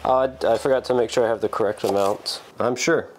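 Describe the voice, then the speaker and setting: A man's voice: fairly low pitch, fairly even intonation, a little fast, background noise. A man in his thirties bantering casually as he co-hosts a home cooking video, dry and wry in his humor. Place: home kitchen.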